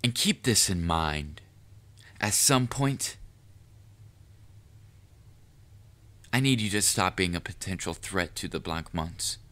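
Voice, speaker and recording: A man playing a proud, cunning, lovestruck assassin in an audio roleplay, speaking formally and deliberately to the listener with quiet menace. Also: deep voice. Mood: quietly threatening. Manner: formal wording, deliberate, measured. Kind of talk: scripted audio roleplay monologue addressed to the listener.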